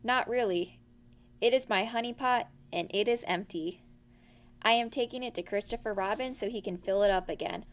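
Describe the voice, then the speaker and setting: Storybook bear, simple and earnest, a little glum, played by a woman, slightly high voice. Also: normal speed, natural voice. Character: slow, glum, honey-loving bear, gentle and earnest.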